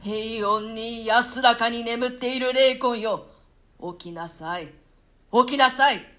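A woman voicing the ghost of a betrayed lord in a radio play reading, shouting loud curses. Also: a little high, slow pace. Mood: rousing.

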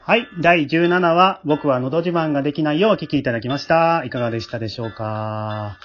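A man hosts casually and politely, talking at a brisk clip. Music plays clearly behind him, and the recording is slightly muffled. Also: on the high side. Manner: polite, frequent backchannels. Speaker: radio drama host and scriptwriter. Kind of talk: casual podcast hosting.

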